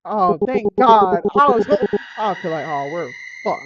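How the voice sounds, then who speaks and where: An American woman streaming a visual novel, switching between dramatic reading and slangy, profane, expressive reactions. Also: medium pitch, a little slow, over a noisy crowd. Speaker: gaming streamer. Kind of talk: animated let's play commentary and dramatic read-aloud of a visual novel. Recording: slightly dull audio with music behind. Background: game music.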